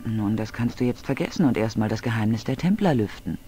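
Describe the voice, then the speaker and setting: An actress playing a blunt, sharp-tongued, exasperated young woman in a video game. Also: extremely low pitch, lively intonation, fairly quick. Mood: exasperated, scolding. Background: game music.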